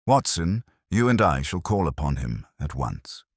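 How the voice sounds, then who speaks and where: A man voicing a calm, incisive consulting detective in a mystery audiobook, with a crisp, deliberate manner. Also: rumbling low, no echo, studio quality, heavily acted. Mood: deliberate, musing.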